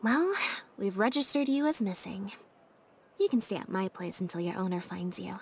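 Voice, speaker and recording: An actress voicing a calm, deadpan young woman, a stage magician's assistant in a video game, speaking in a flat, understated, dryly matter-of-fact way. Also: medium pitch, moderate pace, a little hiss or hum, close to an everyday voice.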